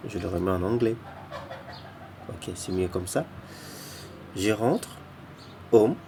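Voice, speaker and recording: A man with a lowish, gravelly voice talks through an app step by step. He stumbles and repeats himself, restarting phrases as he goes. A faint hum of background noise sits under the recording. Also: slow pace, warm tone. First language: not English. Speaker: app tutorial presenter. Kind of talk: casual screen-recorded walkthrough.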